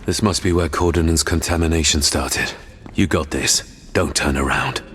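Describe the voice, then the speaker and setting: An actor playing a determined human hero who talks bluntly and wryly. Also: male voice, sits low, moderate pace, music in the background, natural voice. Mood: defiant. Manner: blunt, wry, defiant. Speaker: game protagonist.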